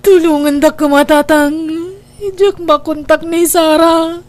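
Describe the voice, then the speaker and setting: Woman acting a high-voiced, slow, plaintive young woman. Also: fairly even intonation, darkish tone. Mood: tearful, pleading. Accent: Filipino, Ilocano. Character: lovelorn, distraught, pleading young woman. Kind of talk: comedy drama acting.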